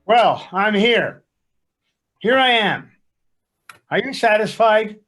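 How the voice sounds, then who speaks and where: An actor playing a reluctant, argumentative husband in a comic stage sketch, sarcastic and exasperated. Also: male voice, high voice, sing-song, unhurried, soft background music. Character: reluctant, grumpy, argumentative husband at couples counseling. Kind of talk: comic readers theater sketch.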